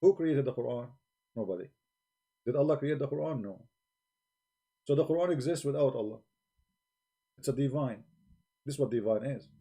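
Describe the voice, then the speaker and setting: Man, live-stream host, mellow voice, blunt challenging talk, broken English, desk microphone. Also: mid-range voice, lively intonation, moderate pace, pauses often, slightly rough. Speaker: livestream host.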